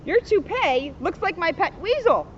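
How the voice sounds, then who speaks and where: A woman's voice: very high-pitched, very animated, normal speed, lo-fi sound, a little hiss or hum, pitched above the performer's own voice. A woman voicing a blunt, honest young schoolboy in a storybook, emphatic and matter-of-fact.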